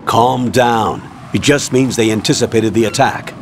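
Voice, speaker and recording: A man's voice: mid-range voice, moderate pace, slight background noise, lightly acted. An actor playing a stern male colonel giving curt orders over the radio in a video game cutscene. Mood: commanding. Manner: curt, commanding, briefing orders. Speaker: commanding officer on radio.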